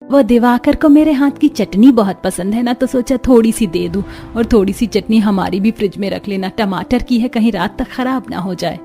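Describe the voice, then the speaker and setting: A woman's voice: a little high, normal speed, mellow, close to an everyday voice. A woman voicing a kindly, humble old mother-in-law in a cartoon, homely and mild. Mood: mild, hopeful. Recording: music behind the voice.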